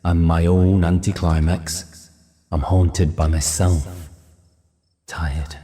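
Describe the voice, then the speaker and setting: Man acting a weary, bitter, low-voiced policeman. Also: level tone, slow pace, dark tone, breathy edge, dry recording, studio quality, heavily acted. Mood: broken, self-pitying. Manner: sardonic, self-pitying. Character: weary, bitter policeman, despairing and self-loathing. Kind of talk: dramatised audiobook dialogue.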